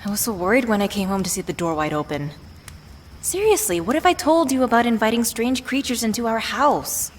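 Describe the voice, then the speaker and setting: Woman acting affectionate, exasperated witch, ambient noise. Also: mid-range voice. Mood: worried, then teasing exasperation. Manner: playfully exasperated, tender scolding with sighs. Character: worried, affectionate witch scolding her beloved partner. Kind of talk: ASMR roleplay audio drama. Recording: clear background ambient noise.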